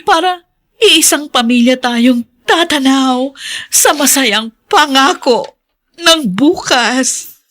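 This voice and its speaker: Mabagal magsalitang nakababatang kapatid. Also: female voice, high voice, expressive, slow, fairly bright tone, full character voice. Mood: tenderly hopeful. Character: gentle, earnest younger sister and mother, reconciling. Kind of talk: Filipino radio drama acting.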